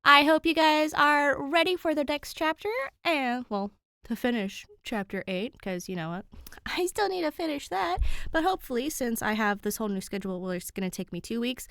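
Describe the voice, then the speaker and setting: A woman host chatting casually to her listeners in an animated, informal way. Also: a little high, no echo, studio quality. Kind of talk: casual chat.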